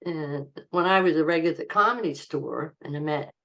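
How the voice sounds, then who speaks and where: An older woman, a comedian, telling stories from her life in a rambling, halting way as an interview guest, laughing often. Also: sits low, fairly bright tone, muffled recording. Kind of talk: casual interview storytelling.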